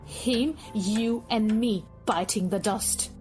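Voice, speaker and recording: A woman's voice: medium pitch, slow, a little mellow, soft background music, lightly acted. A woman playing a scornful, cynical president's wife in an audio drama, haughty and mocking. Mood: cynical, resigned.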